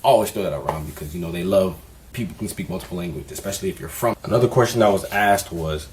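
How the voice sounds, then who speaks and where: A young man with a fairly low voice talks casually to camera, candid and easygoing, full of "you know" fillers. Faint noise sits behind him in the recording. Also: fast talker. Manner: relaxed, candid, conversational with frequent fillers. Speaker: vlogger. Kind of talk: casual vlog advice.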